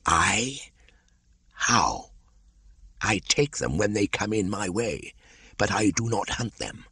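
Man voicing an old python, wary, slow, drawn-out, husky, raised pitch. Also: animated, bright, forceful tone, no room sound, full character voice. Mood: cool, measured. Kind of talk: audiobook character voice.

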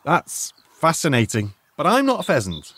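An actor with a British accent playing a polite, sardonic retired archaeologist in an audio drama, hesitant and curious. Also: male voice, fairly high pitch, wildly swooping pitch, normal speed, a bit bright, exaggerated voice. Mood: polite, persistent. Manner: muttering musings, hesitant, polite. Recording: faint ambient noise behind.

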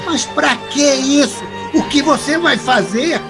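A gruff angry dad in an audio drama, high voice, music behind. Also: male voice, a little slow. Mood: defiant alarm. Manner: gruff, swearing, confrontational. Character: angry foul-mouthed father, harsh and defiant.

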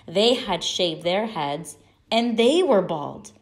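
A woman reads a children's story aloud. Her delivery is slow and deliberate, with lively, expressive swings of pitch and dramatic pauses. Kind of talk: children's picture book read-aloud.

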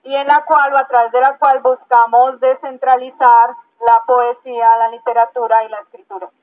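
A woman's voice: high voice, little pitch movement, normal speed, no echo. A woman declaiming poetry and announcing at a public reading in an outdoor park. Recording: tinny, band-limited megaphone sound outdoors.

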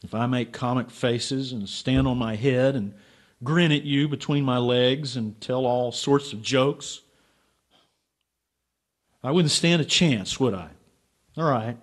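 A man's voice: mid-range voice, slow pace, long pauses. A man playing a bitter, lovelorn, hard-drinking writer in a radio drama, brooding and stubborn. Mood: self-mocking, lovelorn. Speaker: actor and narrator. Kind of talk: radio drama acting and first-person narration.

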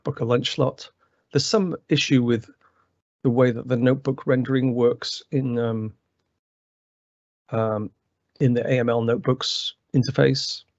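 A man with a gravelly voice presenting a technical webinar walkthrough, rambling with many fillers and restarts. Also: mid-range voice, no echo. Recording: dry, slightly muffled webinar audio.

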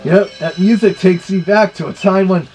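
A man's voice: high-pitched, steady tone, normal speed, over music. A man giving casual review commentary in a small bedroom, picked up by a camera mic.

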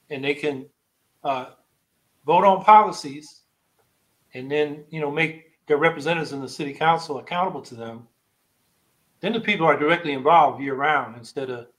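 A man's voice: a little high, a bit bright, rough recording. An older man hosting a podcast, interviewing a guest over a video call, engaged and thoughtful in his questions. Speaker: podcast host. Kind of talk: casual interview on a livestream. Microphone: video call webcam.

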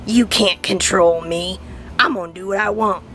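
A woman's voice: mid-range voice, normal speed, a bit bright, faint music behind, pitched below the performer's own voice, lightly acted. A woman voicing a defiant, sulky, mischievous panda plush toy, grumbling through a puppet skit.

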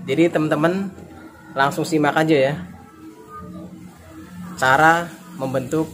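A man's voice: on the high side, steady tone, unhurried, a bit bright. A man gives a casual exercise tutorial to camera, often adding little check-in tags for viewers. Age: 20s. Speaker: fitness YouTuber. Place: outdoors in a narrow walled residential alley. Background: music.

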